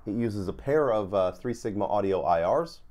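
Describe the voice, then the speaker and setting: A man's voice: on the low side, lively intonation, normal speed, darkish tone. A man hosting a guitar video, talking casually to viewers in an informal, rambling way.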